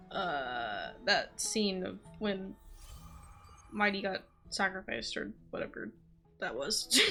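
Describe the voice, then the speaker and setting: A woman's voice: medium pitch, pushed and bright. A woman livestreaming a game, chatting casually and distractedly, swearing now and then and reading dialogue aloud. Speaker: streamer.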